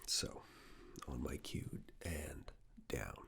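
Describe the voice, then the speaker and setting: A man acts out a stern male fitness examiner in an ASMR roleplay, with an extremely low, gravelly voice. He gives clipped, hushed orders very slowly, controlling but reassuring, and often drops to a whisper. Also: expressive, exaggerated voice. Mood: stern, encouraging. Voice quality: breathy, gravelly. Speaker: ASMR performer. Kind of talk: ASMR personal attention roleplay.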